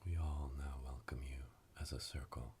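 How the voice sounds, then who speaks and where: A man playing a solemn witch leading an initiation in a hushed, breathy ASMR whisper, ceremonial and monotone, close to the mic. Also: extremely low pitch, normal speed, a little hiss or hum. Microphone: close binaural microphone.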